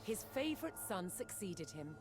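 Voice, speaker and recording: A woman reading scripted historical documentary narration in a measured, dramatic storytelling manner. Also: mid-range voice, very animated. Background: loud cinematic background music.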